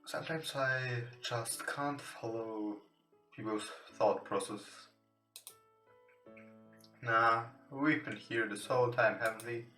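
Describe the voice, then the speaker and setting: A man who is not a native English speaker reads visual novel text aloud as a let's play host, haltingly and with stumbles over words. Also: mid-range voice, steady tone. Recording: roomy echo, distant from mic. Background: soft guitar game music.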